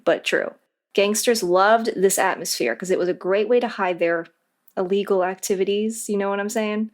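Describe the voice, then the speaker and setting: Conversational woman explaining, medium-pitched voice. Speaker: interview guest, voice actor. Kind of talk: scripted explanatory interview answers.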